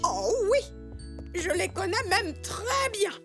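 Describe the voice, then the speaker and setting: A performer voicing a kindly old zebra grandmother in a children's cartoon, gentle and full of exclamations. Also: extremely high pitch, big pitch swings, slow pace, heavily acted. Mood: delighted. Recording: music behind the voice.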